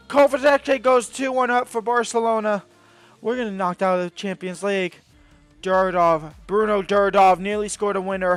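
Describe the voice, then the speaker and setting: Excitable high-voiced man commentating a game. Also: clear, resonant tone, dry recording. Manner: excitable, bursts into shouts at big moments. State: voice getting hoarse. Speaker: gaming video host. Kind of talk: live video game commentary.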